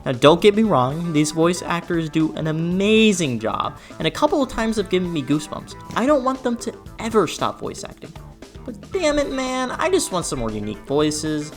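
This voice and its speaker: A person delivering a scripted, opinionated video essay in an expressive, emphatic way with conversational asides. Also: medium pitch, normal speed. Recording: music behind the voice. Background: background music.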